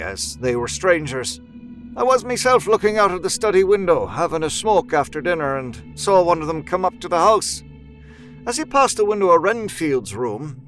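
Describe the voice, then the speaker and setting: High-voiced Victorian asylum doctor. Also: male voice, fairly bright tone. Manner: formal, measured storytelling. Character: earnest male Victorian asylum doctor reporting by letter. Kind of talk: audio drama letter narration.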